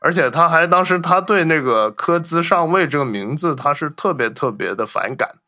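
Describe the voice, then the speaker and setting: A man's voice: fairly high pitch, lively intonation, normal speed, sharp tone. A man hosting a podcast, casually telling film anecdotes and acting out the remarks he reports. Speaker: podcast host.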